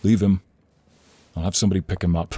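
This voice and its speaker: A man's voice: sits low, steady tone, fast talker, mellow, breathy edge. A man playing a commanding, protective king in a dramatic audio role play, formal and regal in his way of talking. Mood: commanding, angry.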